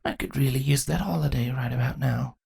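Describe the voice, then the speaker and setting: A man voicing an ailing mother changeling queen in a weak, breathy, shaky voice, tender and wry, for a storybook reading. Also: medium pitch, fairly quick, darkish tone, no room sound, clean studio sound, voice pushed up, heavily acted.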